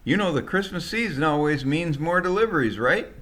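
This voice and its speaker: A man's voice: a little high, animated, fairly quick, a bit bright, pitched above the performer's own voice. A man voicing a harried package packer who calls out in pleading protest in an audio story. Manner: pleading, calling out.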